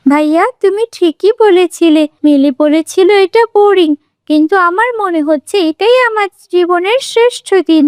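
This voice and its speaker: A woman voicing a kind, pious young girl in a children's cartoon, speaking gently and sincerely. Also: extremely high pitch, moderate pace, no room sound, studio quality. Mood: tender.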